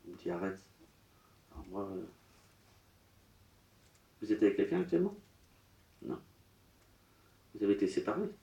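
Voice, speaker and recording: A man working as a medium at a public séance, relaying messages in short, broken, halting phrases. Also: fairly low pitch, very animated, gravelly, warm tone, lo-fi sound. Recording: roomy echo.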